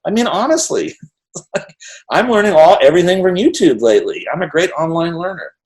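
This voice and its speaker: A middle-aged man with a fairly high voice, hosting a conversation over a video call. He talks in quick, rambling bursts, laughing and tossing in "right?" to keep his guest with him. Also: lively intonation. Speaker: interview host. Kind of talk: animated casual conversation.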